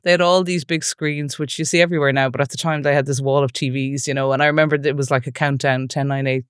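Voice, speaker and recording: A woman's voice: a bass voice, steady tone, fast talker, clean tone, no echo, clean studio sound. A woman in her forties from the west of Ireland, an Irish speaker, with an Irish accent, chatting as an interview guest and telling stories full of asides. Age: 40s. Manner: chatty storytelling, many "like" and "you know" asides. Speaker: film producer, interview guest. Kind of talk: relaxed podcast interview.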